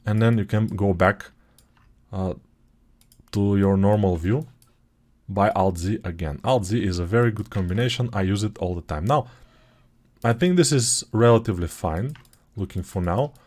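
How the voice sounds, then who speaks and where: Bulgarian guy doing a 3d sculpting tutorial, kinda low voice, wanders off topic, fixes his words a lot. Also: normal speed, long pauses, a little mellow. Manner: chatty, digressive, self-correcting. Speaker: 3D modeling course instructor. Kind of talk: software tutorial walkthrough.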